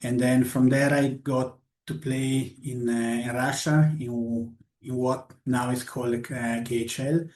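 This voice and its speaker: A man, a goalie coach answering interview questions haltingly with many fillers. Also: medium pitch, flat delivery, a little mellow. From Switzerland. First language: Italian. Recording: roomy echo.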